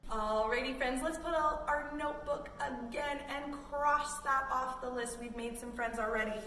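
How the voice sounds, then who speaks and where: A woman's voice: sits high, moderate pace, sharp tone. A woman reading a picture book to children and guiding them through yoga with simple, encouraging cues, recorded in a room. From Canada. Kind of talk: children's read-along and yoga instruction. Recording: roomy echo, distant mic, background noise.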